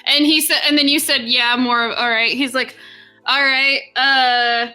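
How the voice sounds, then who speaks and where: A woman's voice: high voice, steady tone, unhurried, pushed and bright, clean tone, faint music behind. A woman narrating as game master in a casual, rambling way with many fillers. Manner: casual, filler-heavy narration with voiced NPC lines.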